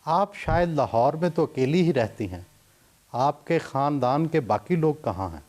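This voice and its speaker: A man asking formal interview questions and narrating in a measured way. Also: a little high, animated, a little mellow. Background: music.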